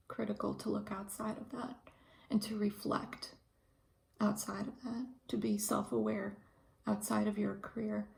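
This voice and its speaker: A woman in her thirties from Ukraine speaks slowly and thoughtfully, pausing often as she works through her ideas. Her voice has a heavy, gravelly fry, and her pitch sits in an ordinary middle range. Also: a little mellow, poor audio. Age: 30s. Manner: thoughtful, hesitant, many pauses and fillers. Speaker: pharmacist and video host. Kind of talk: reflective casual monologue.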